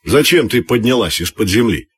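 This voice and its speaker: A man's voice: on the low side, animated, normal speed, slightly rough. A man voicing Coal, a proud, quarrelsome male emperor of a fiery realm in a storybook tale, speaking in a haughty, imperious manner. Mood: hot-tempered, haughty.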